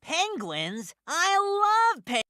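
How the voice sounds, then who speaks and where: A woman voicing an upbeat cartoon pup who presents lessons to young children in a sing-song, animated way. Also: very high-pitched, extremely slow, no room sound, clean studio sound, full character voice. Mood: cheerful, eager. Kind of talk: scripted children's educational cartoon dialogue.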